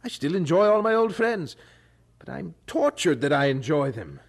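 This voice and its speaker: A restless young Englishman in a radio-style audio drama. His voice is husky and rather high, swinging widely in pitch. He speaks with earnest intensity, like a son torn by his conscience. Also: big pitch swings, normal speed. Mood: anguished, restless. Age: young adult.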